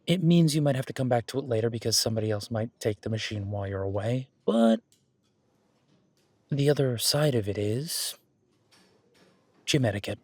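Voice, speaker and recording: A man's voice: medium pitch, normal speed, pauses often, darkish tone, dry recording, close to an everyday voice. A man playing a friendly, easygoing werewolf boyfriend who coaches his date at the gym in a casual, encouraging, rambling roleplay audio. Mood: instructive, relaxed. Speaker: gym partner giving workout guidance. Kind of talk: roleplay audio drama, one-sided casual conversation.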